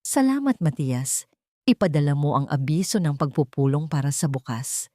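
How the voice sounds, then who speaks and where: A woman voicing a composed, vengeful female executive, speaking in a measured, icy and formal way for an audio drama. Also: sits low, lively intonation, warm tone, dry recording, studio quality. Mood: cold resolve. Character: cold, powerful vengeful businesswoman, composed and threatening.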